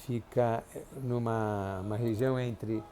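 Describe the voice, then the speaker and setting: A man, recalling his family in an oral history interview, speaking haltingly with many restarts and tag questions. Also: lowish voice, fairly even intonation, dark tone, slight background noise. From Brazil. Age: 70s. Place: clinic office.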